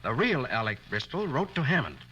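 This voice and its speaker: An actor playing a level-headed private detective who reasons briskly and with command in a 1940s radio crime drama. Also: male voice, medium pitch, sing-song, bright, forceful tone, muffled recording. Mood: reasoning, assured. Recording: old radio recording, faint noise.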